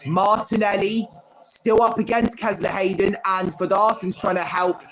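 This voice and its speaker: A man's voice: sits high, level tone, moderate pace, fairly bright tone, rough recording. A man hosting a live football watchalong stream, calling each pass by player name and chatting with viewers between plays. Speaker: livestream host.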